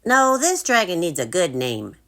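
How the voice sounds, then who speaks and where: A kind young storybook boy, read by a woman. He is courteous and sincere, speaking in a raised, expressive voice. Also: fairly low pitch, moderate pace, voice pushed up. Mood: polite. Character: polite, helpful, kind young boy dragon trainer.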